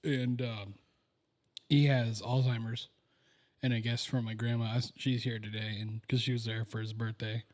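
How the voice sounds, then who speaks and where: A young man with a mid-range voice chats on a casual podcast. He keeps an easygoing, relaxed tone and fills pauses with "um" and "like.". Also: mid-range voice. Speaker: podcast co-host. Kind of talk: casual chat.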